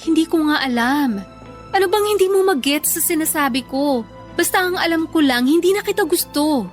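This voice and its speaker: A woman's voice: high-pitched, expressive, moderate pace, faint music behind. A woman playing a weary, blunt ex-girlfriend in a radio drama, firm and exasperated. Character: weary, blunt ex-girlfriend firmly ending a long relationship. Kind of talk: emotional radio drama dialogue.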